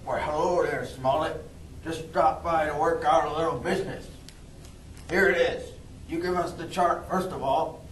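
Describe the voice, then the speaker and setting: A man acts out a scheming pirate for a puppet show. He bargains in a folksy, threatening way, a little high in pitch, heard from off in an echoey room. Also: fairly even intonation, moderate pace, fairly bright tone, lightly acted. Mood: bargaining, threatening. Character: scheming male pirate, smooth negotiator making threats. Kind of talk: amateur puppet show acting. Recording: echoey room, distant mic, faint noise.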